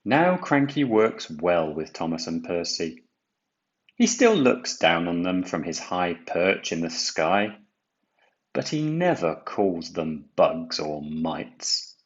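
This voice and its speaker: A deep-voiced man narrates a children's storybook at a slow, deliberate pace. He leans on key words for drama. The recording sounds a little dull. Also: very animated. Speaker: storybook reader. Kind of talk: expressive children's read-aloud narration.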